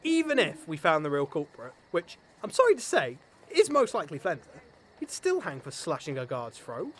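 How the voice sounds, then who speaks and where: Man playing a pompous, high-swooping excitable knight. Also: moderate pace. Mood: firm, resigned. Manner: blustering, easily exasperated. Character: pompous, excitable, self-absorbed knight, bossy with his companion. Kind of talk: comedic fantasy audio drama acting.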